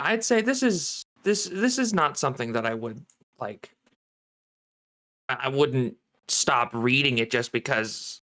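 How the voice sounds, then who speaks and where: A man giving casual script feedback, halting and repeating words as he thinks aloud. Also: medium pitch, bright, forceful tone. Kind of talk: casual opinion.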